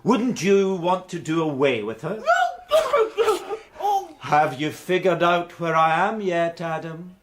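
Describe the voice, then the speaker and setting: Taunting killer, British accent, high animated voice, gloating, smug, theatrical radio play villain. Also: male voice, takes it slowly, pauses often, slight background noise, exaggerated voice. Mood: smug, menacing. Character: gloating, theatrical male murderer toying with his pursuer. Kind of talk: radio drama acting.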